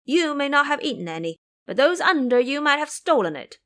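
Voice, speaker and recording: Woman voicing a young Taoist boy, shrill, accusing, high pitched. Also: very animated, normal speed.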